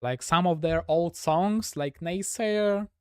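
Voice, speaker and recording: A man's voice: on the high side, slow, a little mellow, clear, resonant tone, no room sound, studio quality. A man from Russia with a Russian accent, a gaming streamer chatting casually with viewers in a rambling way, full of "like" fillers. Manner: rambling, heavy use of "like" fillers.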